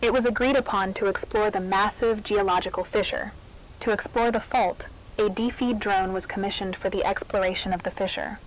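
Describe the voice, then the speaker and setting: A woman's voice: mid-range voice, steady tone, fairly quick, lightly acted. A woman playing a military institute narrator, reading a scripted confidential briefing in a formal, clinical manner. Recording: faintly noisy briefing tape.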